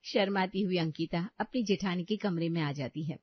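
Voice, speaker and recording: A woman's voice: fairly low pitch, moderate pace, muffled recording. A woman reading scripted story narration as the storyteller.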